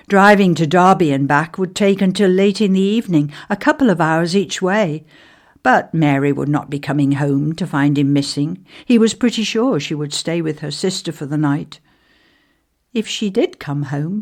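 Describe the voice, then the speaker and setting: A woman reading a ghost story aloud as scripted narration, in a measured, deliberate way with pauses. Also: on the low side, a little mellow.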